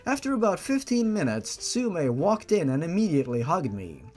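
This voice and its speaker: A man's voice: fairly high pitch, very animated, moderate pace, darkish tone, faint music behind. A man doing a dramatic reading of fan fiction, breaking off for exasperated, sarcastic asides. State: feeling unwell. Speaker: fan fiction reader and host.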